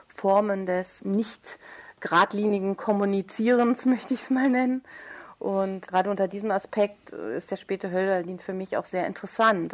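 A woman speaking as an interviewed writer, thoughtful and articulate, searching for precise wording as she reflects on literature. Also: medium pitch. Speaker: writer interviewed about own radio play.

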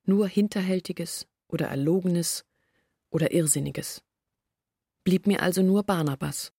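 An actress playing an earnest woman who confesses her family's failures in a reflective, measured monologue from a literary radio play. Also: fairly low pitch, vocal fry, warm tone, slightly rough, no room sound, clean studio sound, heavily acted.

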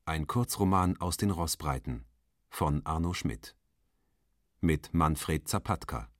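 A man's voice: low voice, no room sound, clean studio sound. A man reading radio play credits as a formal, scripted announcement.

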